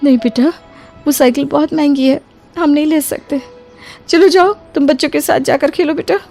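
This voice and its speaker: Casting: actress as struggling young mother in a moral story, high voice with heavy creak, polite and plaintive. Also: fairly even intonation, normal speed, darkish tone, soft background music. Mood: gently refusing. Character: distressed, humble young mother fallen on hard times. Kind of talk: acted Hindi moral story dialogue.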